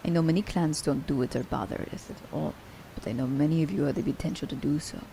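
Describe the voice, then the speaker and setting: A woman playing a teasing, worldly female assassin in an ASMR roleplay, with a gravelly, mellow voice and a playful, unhurried delivery. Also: low-pitched, slight background noise. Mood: relaxed, teasing. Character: teasing, worldly female assassin fond of her werewolf captive.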